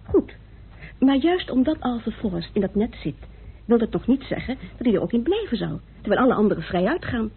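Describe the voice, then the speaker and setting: Very husky, insistent woman. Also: on the high side, very animated, a little fast, exaggerated voice. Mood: insistent, questioning. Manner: coaxing, insistent. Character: caring, argumentative woman reasoning with her troubled partner. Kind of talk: old Dutch radio drama acting. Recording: muffled tinny old radio recording.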